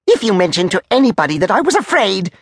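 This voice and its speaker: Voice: medium pitch, very animated, quick pace, husky, no room sound, clean studio sound, pitched above the performer's own voice. A performer voicing a blustering, easily enraged military major who barks and roars in a storybook tale. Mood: defensive bluster. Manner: barking, roaring, threatening.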